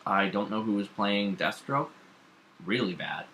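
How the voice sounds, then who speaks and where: Bright, forceful low-voiced man chatting casually. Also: a little slow, slight background noise. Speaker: podcast co-host. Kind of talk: casual opinionated chat about pop culture.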